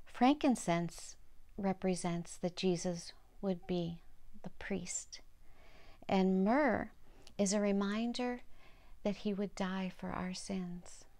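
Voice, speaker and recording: Older woman, slow delivery, children's Bible storytelling, plain short sentences, explanatory manner. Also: mid-range voice, warm tone. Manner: simple short sentences, explaining to young listeners.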